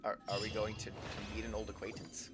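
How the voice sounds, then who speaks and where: A man's voice: fairly low pitch, completely flat delivery, fast, very noisy. A man streaming a game live, reacting casually with hesitant, murmured commentary and repeated words.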